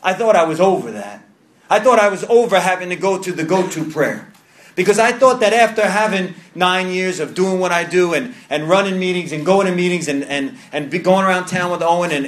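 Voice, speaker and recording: A man's voice: high voice, a bit bright. Earnest New York man in an echoey room. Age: 30s. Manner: earnest, confessional, repeats and restarts phrases. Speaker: recovery meeting speaker. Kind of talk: personal testimony to a group. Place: meeting room.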